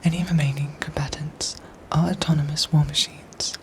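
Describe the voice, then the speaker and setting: A woman playing a calm, protective android soldier in an ASMR audio roleplay, speaking softly and breathily in formal, measured, robotic phrases. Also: low-pitched, a little hiss or hum. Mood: formal, matter-of-fact. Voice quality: soft, breathy, whispery.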